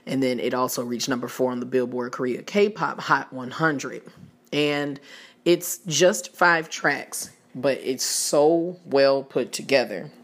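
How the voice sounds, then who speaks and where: Podcast host, husky and rough tonight, casual album-review chatter, laughs often. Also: medium pitch, slow pace. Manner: chatty, laughs often, talks straight to listeners. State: worn out, rough-voiced.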